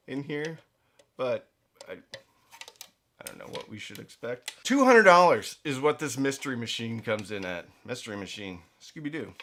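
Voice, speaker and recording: A man's voice: medium pitch, a bit bright. A man presenting a product review in a casual, conversational way, with emphatic stresses and playful asides. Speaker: reviewer and host of a budget audio gear channel.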